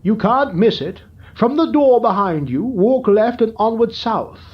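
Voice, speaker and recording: A man's voice: high-pitched, expressive, measured pace, voice pushed up. A man putting on a fussy, gout-stricken old townsman. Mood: fawning. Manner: theatrical groaning and fawning. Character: gouty, lovesick old male townsman. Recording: dull lo-fi recording.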